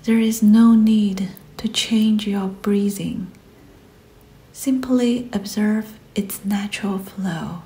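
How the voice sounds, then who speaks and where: A woman guides a meditation in a soft, breathy voice that often drops close to a whisper. Her tone is dark and relaxed at a medium pitch. She speaks very slowly and soothingly, leaving space between short, gentle phrases.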